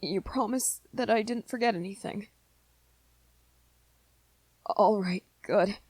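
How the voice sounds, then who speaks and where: A woman acts out a gentle young vampire boyfriend for an ASMR roleplay. He speaks very slowly and softly, hesitating and stammering as he anxiously apologizes. The sound is close and dry. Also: mid-range voice, husky, no echo. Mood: anxious, tender. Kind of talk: ASMR roleplay, scripted one-sided dialogue to listener.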